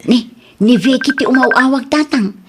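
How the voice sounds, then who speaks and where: A woman as a chatty, high-voiced Ilocano woman. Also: moderate pace, background noise, close to an everyday voice. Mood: chatty, helpful. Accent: Ilocano Filipino. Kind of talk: Ilocano radio comedy drama acting.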